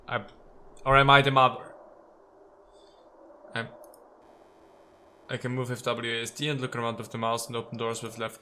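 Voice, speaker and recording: Bright-voiced man doing playful gaming commentary. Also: mid-range voice. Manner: playful, theatrical, talks to game characters. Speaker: let's play host. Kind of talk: casual gaming commentary with read-out game text.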